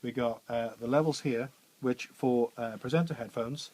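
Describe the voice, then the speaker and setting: A man with a bassy voice, chatting informally through a radio studio training session with frequent fillers and restarts. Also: moderate pace, a little mellow. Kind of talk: hands-on technical demonstration.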